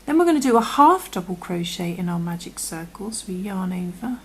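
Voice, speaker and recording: A woman with a croaky voice, patiently talking through a crochet tutorial and often dropping to a half-whisper as she works. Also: fairly low pitch, big pitch swings, fairly quick. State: croaky from illness. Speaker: crochet instructor. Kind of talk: step-by-step tutorial.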